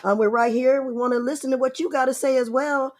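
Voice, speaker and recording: A woman's voice: steady tone, fast, darkish tone. A woman hosting a casual talk show, chatty and upbeat, encouraging listeners to call in. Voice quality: describes her own voice as deep. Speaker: show host. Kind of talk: casual radio-style talk show chat.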